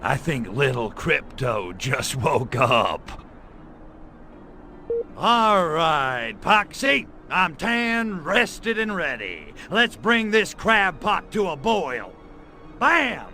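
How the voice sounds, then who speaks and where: A wisecracking alien invader from a video game. He is slightly high and lively in pitch, with a swaggering, sardonic tough-guy delivery. Faint music plays behind him. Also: extremely slow, full character voice. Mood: cocky swagger. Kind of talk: comedic video game acting.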